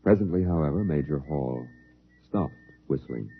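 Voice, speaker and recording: A man's voice: extremely low pitch, expressive, moderate pace, creaky, husky, exaggerated voice. A man delivering grave scripted narration as the narrator of a 1950s science fiction radio play. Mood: grave, measured. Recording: dull lo-fi 1950s radio recording.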